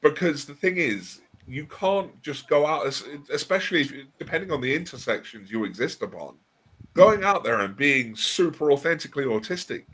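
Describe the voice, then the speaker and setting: Male livestream host, husky tone, thoughtful and halting delivery, relaxed chat. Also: fairly high pitch, poor audio. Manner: thoughtful, hesitant restarts. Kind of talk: casual discussion.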